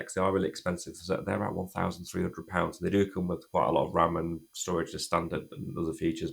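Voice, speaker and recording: A man reviews a phone while nursing a cold, his voice croaky and gravelly. He talks fast and casually in a very low, flat tone, often restarting his sentences. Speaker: tech reviewer.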